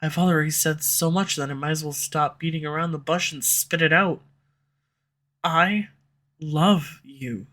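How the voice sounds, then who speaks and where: A woman's voice: low voice, measured pace, fairly bright tone. A woman voicing a flustered tsundere female villain in love, in an audio roleplay for a listener, defensive at first and then tender. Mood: confessing. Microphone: USB condenser microphone at home.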